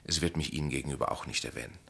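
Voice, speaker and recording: An actor playing an enigmatic, knowing stranger in a German radio drama, speaking in grave, measured, philosophical pronouncements with authority. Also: male voice, a bass voice, vocal fry, a bit bright, breathy edge, exaggerated voice. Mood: cryptic, calm.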